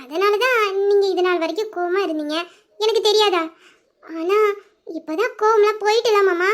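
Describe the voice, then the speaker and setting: A woman's voice: very high voice, moderate pace. A woman playing a young woman who coaxes and pleads with her lover in an audio drama. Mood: pleading. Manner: pleading, coaxing, breaking into tears. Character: young woman, coaxing and tearful sweetheart.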